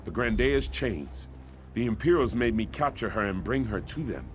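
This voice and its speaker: A man's voice: on the low side, expressive, moderate pace, slight background noise, natural voice. An actor playing an earnest, grateful father in a fantasy video game, speaking solemnly. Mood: troubled, earnest. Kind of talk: video game character acting.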